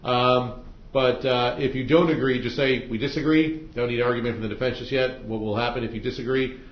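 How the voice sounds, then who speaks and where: A man's voice: medium pitch, fairly even intonation, brisk. An American man speaking as a judge, running jury selection in a casual, plain-spoken way with informal questions and instructions. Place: courtroom. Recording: echoey courtroom, muffled, faint noise.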